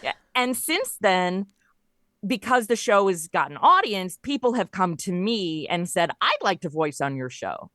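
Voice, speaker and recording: A woman chatting casually as a podcast guest, telling things in an animated, conversational way and voicing bits of dialogue as she goes. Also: lowish voice, measured pace, dry recording, studio quality. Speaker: podcast guest, audio drama creator and voice performer. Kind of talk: casual interview chat.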